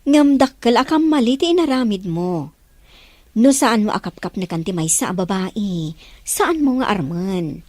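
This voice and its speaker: A woman's voice: mid-range voice, lively intonation, measured pace, darkish tone, dry recording. A woman playing a middle-aged mother reproaching her grown child in an audio drama. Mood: reproachful. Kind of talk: acted audio drama dialogue.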